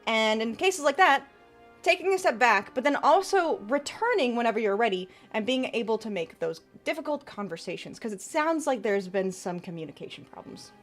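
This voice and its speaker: A woman's voice: a little high, animated, normal speed, faint music behind. A woman VTuber streamer chatting casually with her viewers and giving tarot readings. She laughs often and trails off and restarts as she talks.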